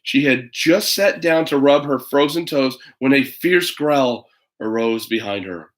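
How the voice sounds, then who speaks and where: A man reading a children's picture book aloud in an animated, dramatic way, with chatty asides to a young listener. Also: mid-range voice, measured pace. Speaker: storybook reader.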